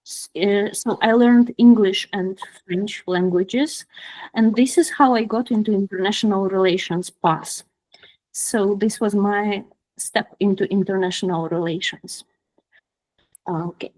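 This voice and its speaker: A woman from Ukraine with a Ukrainian accent, giving an informal personal talk on a video call, pausing to search for words. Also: mid-range voice, fairly even intonation, a little mellow, low-quality recording. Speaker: guest speaker, product designer. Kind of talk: personal career talk to an online group.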